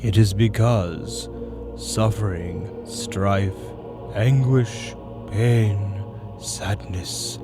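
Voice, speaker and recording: A man voicing a grandiose avian demon tempter in a dark fantasy roleplay monologue, lecturing persuasively with theatrical, drawn-out emphasis over gothic music. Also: on the low side, fairly even intonation. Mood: impassioned, questioning. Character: male avian demon arch-tempter, grandiose philosophical seducer. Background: loud dark gothic music.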